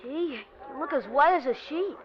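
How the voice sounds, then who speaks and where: A woman's voice: sits high, expressive, normal speed. A woman playing a timid, concerned youngster in 1940s radio drama, with simple, hesitant earnestness. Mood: worried, earnest. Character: timid, concerned youngster helping a sick man. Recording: dull, noisy old radio recording.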